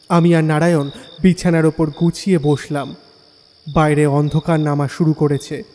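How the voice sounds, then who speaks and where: An actor playing a curious young visitor who narrates a scripted horror audio drama. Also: male voice, a little high, dark tone, in a large hall, natural voice.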